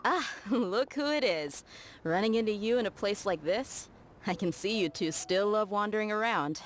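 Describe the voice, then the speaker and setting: An actress playing an easygoing, confident young mercenary woman in a video game, with a relaxed, teasing, friendly manner. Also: mid-range voice, normal speed, soft background music, close to an everyday voice. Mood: amused.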